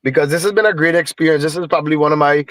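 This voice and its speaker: A Trinidadian man tells stories with easy Caribbean turns of phrase. His voice sits a little high and sounds dry and close, with no room around it. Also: very fast, no room sound. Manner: animated storyteller with Caribbean phrasing. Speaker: guest musician. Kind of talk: casual storytelling interview.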